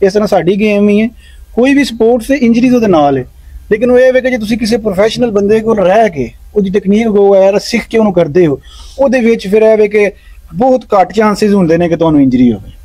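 A man speaks earnestly about his sport, dropping little "alright" checks between points. His voice sits a bit high for a man yet sounds mellow and dark. The outdoor sound is dry, with no room echo. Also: quick pace, breathy edge. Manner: earnest, peppers speech with "theek hai". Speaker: stone-lifting champion and coach. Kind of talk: interview answers. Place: outdoor lawn at night.

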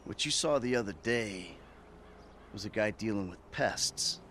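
An actor playing a jaded, hot-tempered middle-aged American criminal in a video game, with a cynical, profane way of talking. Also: male voice, fairly low pitch, expressive, unhurried, fairly bright tone, a little hiss or hum. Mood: cynical, weary. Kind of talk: video game cutscene acting.

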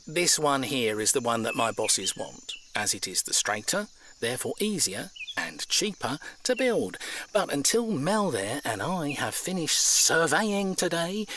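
An actor playing a blunt, smug railway surveyor in an audio drama, officious and dismissive. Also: male voice, mid-range voice, a bit bright, slight background noise. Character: blunt, smug male railway surveyor defending his employer.

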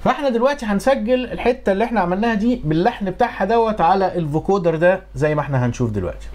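A man's voice: high-pitched, expressive, racing, darkish tone. A middle-aged man with an Egyptian accent explaining in an informal, conversational tutorial style, posing rhetorical questions as an instructor. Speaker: music and audio engineering instructor. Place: small home music studio, indoors.

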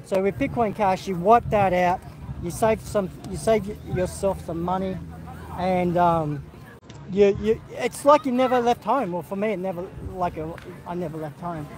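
A man's voice: high voice, moderate pace, poor audio. An Australian man of middle age chatting casually on a livestream at an outdoor football carnival, rambling with many fillers and restarts as he greets viewers. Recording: crowd noise behind.

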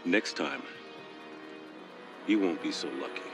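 An American actor playing a cocky, menacing middle-aged police sergeant in a video game, with a taunting, theatrical drawl. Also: male voice, low-pitched, slow pace, over music. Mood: cold menace. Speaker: police officer. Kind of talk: video game cutscene acting.

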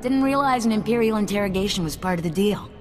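A woman's voice: mid-range voice, lively intonation, quick pace, darkish tone, lightly acted. A woman playing a nervy, streetwise shuttle pilot in a video game, talking casually with stammers and looking out for herself. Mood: uneasy, wry. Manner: casual, stammering, self-protective. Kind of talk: video game cutscene dialogue. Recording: long hall reverb, faint game music.

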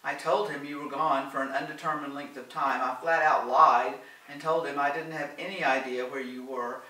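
A man reading the part of a forceful, anxious woman in a storybook, loud and insistent. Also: mid-range voice, normal speed, echoey room, far from the mic, lightly acted, rough recording. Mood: demanding.

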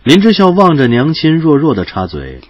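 Animated male audio drama narrator. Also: fairly high pitch, moderate pace, no room sound, lo-fi sound.

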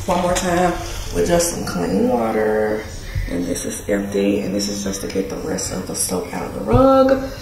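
Woman, casual home vlogger, animated intonation, faint background noise, garage recording. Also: lowish voice, gravelly. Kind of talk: casual chat, narrating a cleaning task. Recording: noisy home camera recording.

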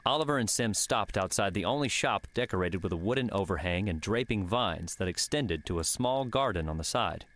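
A man's voice: fairly low pitch, animated, fairly quick, hardly any pauses, a bit bright. A man reading scripted fiction as the narrator of an audiobook.

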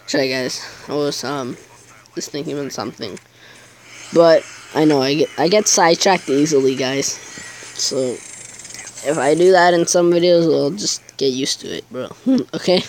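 A man's voice: on the high side, measured pace, slight background noise, poor audio. A teenage boy chatting casually over his gameplay video, rambling and hesitant, with many fillers. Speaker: gaming YouTuber.

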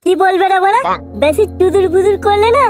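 An actor voicing a brash, foul-mouthed cartoon son-in-law in a squeaky, childlike pitched-up voice. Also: fairly quick. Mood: cocky, irritated. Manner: brash, swearing, bragging. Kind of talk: Bengali comedy cartoon dubbing. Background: playful background music.

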